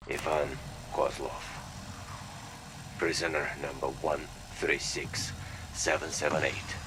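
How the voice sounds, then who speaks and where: Bitter russian gulag guy in a game log, really deep, slow and brooding, noisy background. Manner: halting, brooding, sardonic. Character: doomed, bitter Russian male labor-camp prisoner, grimly wry. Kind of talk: dramatic audio log acting. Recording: noisy audio log with engine-like rumble.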